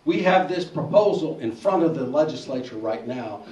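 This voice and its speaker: A man's voice: mid-range voice, lively intonation, normal speed, a little mellow. A man, speaking on a panel at a public forum and arguing his case with a story. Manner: persuasive, storytelling. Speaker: bar association president on a panel. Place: church hall forum. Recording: echoey hall, distant mic.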